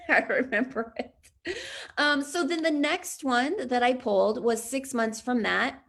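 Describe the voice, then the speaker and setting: Upbeat chatty woman, slightly raspy voice. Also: high-pitched, measured pace, a bit bright. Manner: upbeat, chatty, frequent laughs and throat clearing. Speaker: business coach. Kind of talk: online training presentation.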